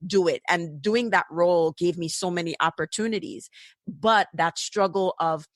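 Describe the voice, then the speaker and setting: A middle-aged Jamaican woman hosts a podcast, telling stories with plenty of you-knows. Her voice sits slightly low. It is recorded close and dry. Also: normal speed, no room sound. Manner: chatty, storytelling, many fillers. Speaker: podcast host. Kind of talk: conversational interview.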